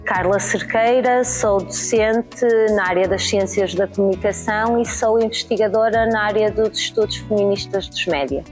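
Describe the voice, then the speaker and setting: A woman lecturer, answering interview questions in a measured, explanatory way with frequent pauses. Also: mid-range voice, faint music behind. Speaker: lecturer and researcher.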